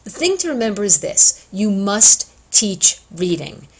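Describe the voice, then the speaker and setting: A woman giving an informal instructional talk as a teacher, conversational and emphatic, stressing key words deliberately. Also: mid-range voice, sing-song, slightly rough. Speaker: education instructor addressing student teachers.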